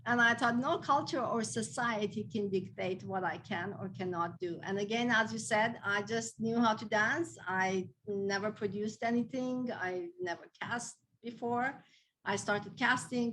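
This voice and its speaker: A woman's voice: mid-range voice, unhurried, a bit bright, poor audio. Woman guest, earnest, heartfelt, faint background hiss, video call. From Armenian heritage, raised in an Islamic country, moved to Canada as a teenager. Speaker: guest, dance theatre founder. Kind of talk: interview storytelling.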